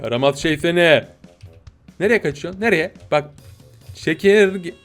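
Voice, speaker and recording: A man doing playful, excitable gameplay commentary, joking with viewers in slangy exclamations. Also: fairly high pitch, brisk. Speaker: gaming video host. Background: game music.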